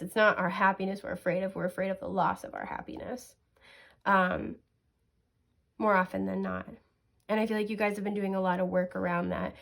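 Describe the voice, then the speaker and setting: A sniffly woman reading tarot cards in a chatty, reassuring way, with many fillers and soft laughs. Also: mid-range voice. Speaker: tarot card reader. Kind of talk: casual pick-a-card tarot reading.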